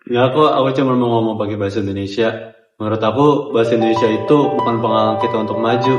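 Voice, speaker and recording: A man's voice: lowish voice, fairly even intonation, very fast, close to an everyday voice. A young man acting a stubborn male student in an amateur school skit, chatting casually and dropping English words into his speech. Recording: roomy, dull, music underneath.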